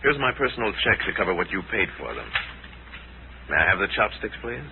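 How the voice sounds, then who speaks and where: An actor playing a calm, knowing crime-fighting lama in a 1940s radio drama, measured and courteous as he explains. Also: low voice, vocal fry, phone-quality sound, full character voice. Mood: composed. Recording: thin, noisy 1940s radio recording.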